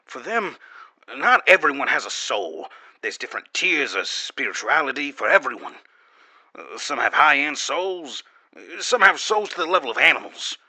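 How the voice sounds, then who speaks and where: A guarded corporate security officer played by a man gives testimony in an audio drama. His voice is very bright and forceful, with lively swings in pitch. He explains hesitantly, then lands pointed emphasis, all in a dry, close recording. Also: normal speed, slightly rough, no room sound, exaggerated voice. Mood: grim, emphatic.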